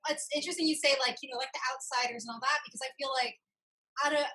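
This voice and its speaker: A woman's voice: high-pitched, fairly even intonation, fast talker, bright, forceful tone. A woman hosting a casual interview, speaking informally and effusively as she affirms her guest. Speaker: show host, interviewer.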